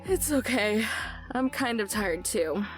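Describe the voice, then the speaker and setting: A man voicing an easygoing, tender young woman in a visual novel, in a gentle, reassuring way. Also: high voice, animated, slow pace, music in the background, voice pushed up. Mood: gentle, tired. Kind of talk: visual novel character read aloud.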